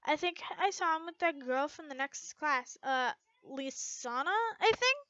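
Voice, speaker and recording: An easygoing teenage boy, played by a woman reading a story, talks in a high, unhurried voice. He is casual and reassuring. The recording is a dull home one. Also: lively intonation, bright, forceful tone, dry recording, heavily acted.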